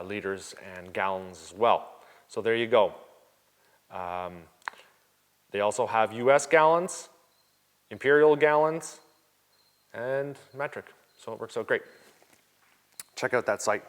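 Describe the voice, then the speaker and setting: A Canadian man hosting a casual vlog, answering viewer questions in a relaxed, chatty way with plenty of ums and uhs. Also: on the low side, unhurried, long pauses. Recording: long reverb.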